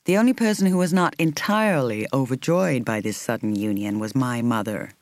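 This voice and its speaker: A woman reading an audiobook in the role of a reflective woman in her thirties recalling her romance, in a measured, intimate, expressive way. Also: rumbling low, no room sound. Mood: fond, reflective. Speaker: audiobook reader. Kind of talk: scripted first-person narration.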